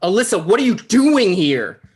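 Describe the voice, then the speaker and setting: A man's voice: high voice, big pitch swings, moderate pace, husky, full character voice. A man playing an awkward, self-important online show host in a comedy table read, stammering a little. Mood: exasperated.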